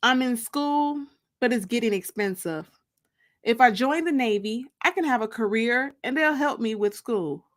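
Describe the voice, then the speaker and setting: A woman's voice: fairly high pitch, normal speed, lightly acted. An American woman reading the part of an earnest, persuasive young woman friend in a dramatic audiobook reading.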